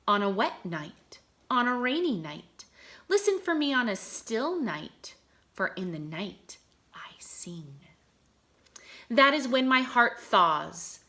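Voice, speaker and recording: A woman teacher reading poetry aloud to young children, explaining as she goes and putting questions to her listeners in an expressive way. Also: mid-range voice. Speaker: kindergarten teacher reading to pupils. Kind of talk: read-aloud lesson for young children, explaining and reciting poems.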